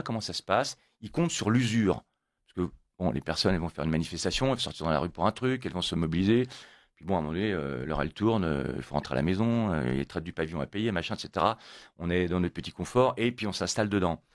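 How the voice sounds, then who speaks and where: A man's voice: low voice, lively intonation, quick pace, dry recording, clean studio sound. A man born in Italy, a guest on a radio interview, talking emphatically and repeating words when pressed. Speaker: guest, motorcyclists' federation coordinator.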